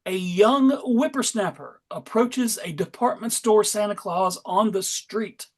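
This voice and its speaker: A man's voice: high voice, a little slow. A man hosting and narrating a dramatic comic-book table read with wry, tongue-in-cheek asides. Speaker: host and narrator.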